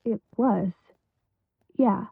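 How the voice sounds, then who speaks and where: A woman's voice: mid-range voice, very slow, creaky, a little hiss or hum, full character voice. An actress playing a guarded, resigned woman being questioned about her family in an audio drama. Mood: resigned, hesitant. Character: guarded, embittered female relative being questioned. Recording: narrow, phone-like band.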